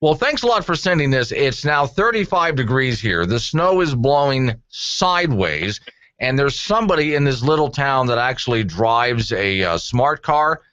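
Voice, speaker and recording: A man hosts talk radio with a very animated, varied pitch. He chats conversationally and drops wry, joking asides. The sound is dry and slightly dull. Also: moderate pace, no room sound. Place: radio studio.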